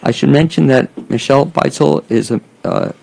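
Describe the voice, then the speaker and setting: A man's voice: medium pitch, moderate pace, vocal fry, a little mellow, slightly rough, rough recording. A man hosting a talk show, chatting casually with guests, joking and stumbling a little over names. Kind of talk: casual interview chat.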